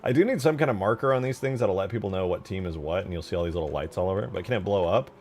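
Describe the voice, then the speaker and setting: Low male voice, casual game-modding commentary, slangy, self-directed muttering, thinking aloud, background music. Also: expressive, racing, darkish tone. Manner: informal slangy, muttering to himself. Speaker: game modder streaming.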